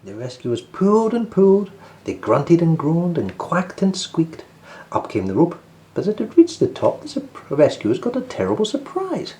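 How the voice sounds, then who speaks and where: A man narrating a children's storybook as host, in an expressive read-aloud style. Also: on the high side, normal speed.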